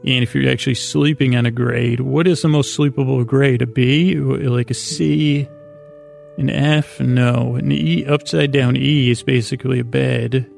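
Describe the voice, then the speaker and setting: Very creaky, rambling man. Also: mid-range voice, steady tone, darkish tone, soft background music. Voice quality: creaky, gravelly voice. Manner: halting, rambling, with frequent pauses and repeated false starts. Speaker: sleep podcast host. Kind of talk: meandering bedtime monologue.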